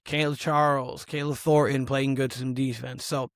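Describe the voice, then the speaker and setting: A hoarse man hosting a solo basketball show, talking through games and stats in a casual, rambling way. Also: mid-range voice, flat delivery, normal speed, dry recording, clean studio sound. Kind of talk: solo sports analysis podcast.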